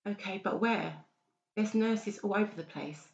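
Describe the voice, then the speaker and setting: A woman's voice: medium pitch, measured pace, gravelly. A woman playing a blunt, sceptical friend who questions everything, in a dramatised audiobook. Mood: concerned, questioning. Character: Sam, blunt, sceptical female friend and fellow escapee. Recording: roomy, slightly dull sound.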